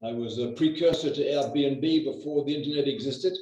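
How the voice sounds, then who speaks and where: An older man from New Zealand, a real estate agent, talking in a measured way with lots of hesitations. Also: medium pitch, background noise. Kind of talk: conversational interview. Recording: echoey distant muffled video call.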